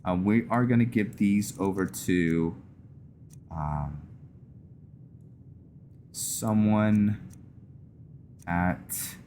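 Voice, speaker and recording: A man's voice: on the low side, drawn-out words, long pauses, dark tone, slight background noise. A man giving casual roleplay game commentary, thinking aloud and narrating his decisions. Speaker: gaming video host. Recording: game music behind the voice. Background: video game music.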